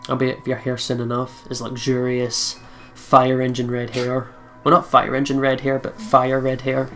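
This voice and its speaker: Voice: mid-range voice, monotone, moderate pace, faint music behind. A let's play host reading game narration aloud dramatically, with casual, sweary commentary.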